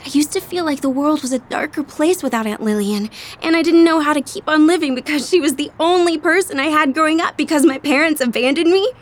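A woman's voice: high-pitched, a little fast, few pauses, lightly acted. A woman playing an eerily carefree, giggly young woman in a comedic horror audio drama, speaking in a breezy, upbeat way. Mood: blithely cheerful.

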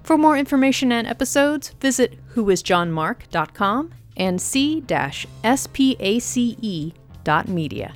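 A woman hosts with a scripted presenting style. Her voice is a little high, and faint music plays behind it. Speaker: podcast host.

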